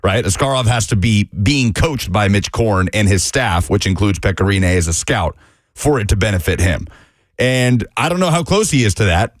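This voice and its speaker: A man breaking down sports on a studio radio show, brisk and detailed, rattling off records and numbers. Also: fairly low pitch, expressive, dry recording. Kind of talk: sports radio talk, analysis. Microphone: studio microphone.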